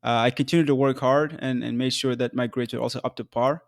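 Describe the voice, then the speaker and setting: A man's voice: mid-range voice, fairly even intonation, creaky, no echo. Earnest young man, dry close sound. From South Sudan. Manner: measured, earnest delivery with occasional um and restarts. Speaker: podcast host. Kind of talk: scripted solo podcast narration.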